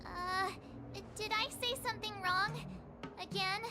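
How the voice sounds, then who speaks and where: A woman's voice: very high voice, fairly even intonation, measured pace. A woman voicing a perky, outspoken and caring teenage girl in a game, with a bubbly, informal way of talking. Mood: concerned. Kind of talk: English game voice acting. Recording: game audio with background music.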